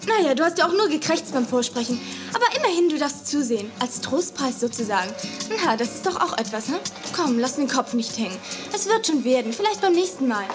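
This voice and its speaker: A woman's voice: sits high, expressive, brisk, over music. A woman playing a haughty, jealous, ambitious young contest rival in a children's audio drama. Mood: smug, condescending.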